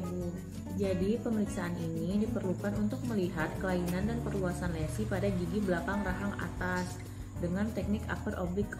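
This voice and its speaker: In a roomy, echoing recording with music behind, a young woman speaks as a student clinician. She is polite and explanatory, as in a rehearsed consultation, at a medium pitch. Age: young adult. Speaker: student operator addressing a patient. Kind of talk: rehearsed clinical role-play.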